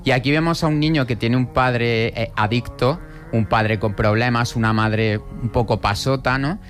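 Un hombre que comenta películas en un programa de radio, explicando con calma entre muletillas y preguntas retóricas. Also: male voice, mid-range voice, moderate pace, faint music behind. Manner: explanatory, with many fillers and tag questions. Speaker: radio film commentator. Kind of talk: casual radio chat about films.

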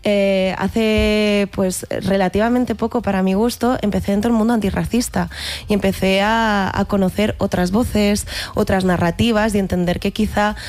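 A woman's voice: medium pitch, fairly even intonation, moderate pace, a little mellow. A woman in her thirties with a mixed Catalan and Madrid accent in Spanish, answering interview questions in a reflective, informal way. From Barcelona, Spain. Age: 30s. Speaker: actress, interview guest.

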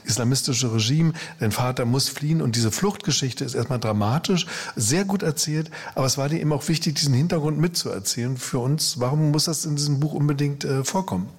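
A man's voice: mid-range voice, brisk, hardly any pauses, breathy edge. A man interviewing an author in an engaged, conversational way, prompting with short questions. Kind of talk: cultural interview.

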